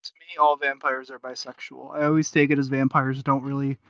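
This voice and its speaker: A man co-hosting a casual podcast chat, speaking in short, blunt, low-key remarks. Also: little pitch movement, brisk, creaky, a bit bright, no room sound. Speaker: podcast co-host and reviewer. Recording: dull lo-fi voice recording.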